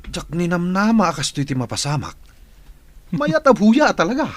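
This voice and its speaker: A man performs a gossipy Filipino household servant in a radio comedy. His pitch sits a little high and leaps around freely. The delivery is folksy and theatrical. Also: very animated, normal speed, no room sound. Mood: amazed. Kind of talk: Ilocano radio comedy-drama acting.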